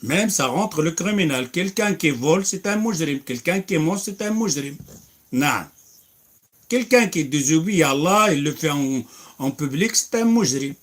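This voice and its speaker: A man's voice: on the high side, expressive, normal speed. A man preaching and answering questions, emphatic, breaking into recited Arabic verses. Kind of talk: religious Q&A.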